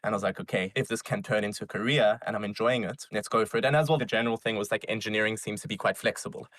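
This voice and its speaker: A man in his twenties from South Africa, telling his story as an interview guest in a casual, energetic way. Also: fairly low pitch, very fast, dry recording. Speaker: guest, startup founder.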